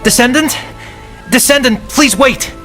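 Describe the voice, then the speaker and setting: A man's voice: very high-pitched, a little slow, a bit bright, soft background music. A man playing a polite, desperate young comms soldier in a video game, deferential and hesitant as he pleads. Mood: urgent, nervous. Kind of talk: video game cutscene acting.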